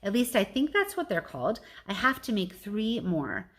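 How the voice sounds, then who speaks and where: A woman's voice: medium pitch, sing-song, moderate pace, fairly bright tone. A woman voicing a thoughtful, earnest male gorilla in a storybook, with a patient way of talking. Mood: hopeful.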